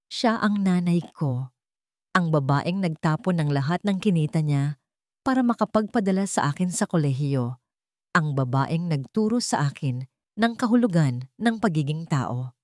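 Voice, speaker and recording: A woman's voice: deep voice, lively intonation, moderate pace, a little mellow, no room sound, studio quality. Woman voicing a stern, commanding company chairman. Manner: commanding, controlled, solemn. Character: stern, righteous male company chairman, wealthy, grateful son. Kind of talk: dramatic audio storybook acting.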